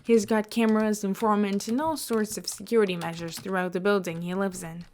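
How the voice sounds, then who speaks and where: Woman voicing a smooth, nonchalant male leader. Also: mid-range voice, steady tone, brisk, a little mellow, a little hiss or hum, close to an everyday voice. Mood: nonchalant, persuasive.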